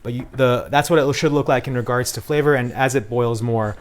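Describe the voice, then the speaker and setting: A man's voice: mid-range voice, steady tone, brisk. An upbeat, chatty man explaining food casually as a guest cooking demonstrator. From Punjabi Indian family.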